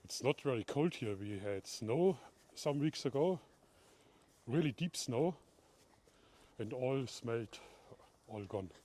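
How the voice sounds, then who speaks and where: Chatty German man, mellow voice. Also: medium pitch, animated. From Bavaria, Germany. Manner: switches between German and halting English, chatty and joking. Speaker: livestream vlogger. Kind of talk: casual live walk-and-talk. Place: outdoors in a town at night.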